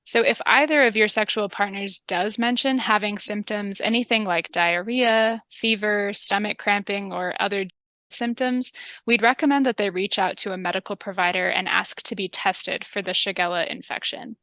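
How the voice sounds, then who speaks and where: A woman with a calm, polite and methodical manner, giving instructions in a scripted public health training video. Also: mid-range voice, moderate pace, no echo. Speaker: public health interviewer and narrator.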